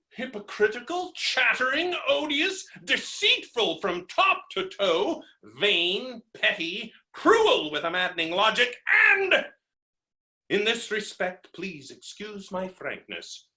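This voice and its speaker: A man's voice: high voice, bright, forceful tone, heavily acted. A man playing a blustering, boorish, melodramatic fellow who rails against women in a theatrical, mocking way, in a stage comedy reading.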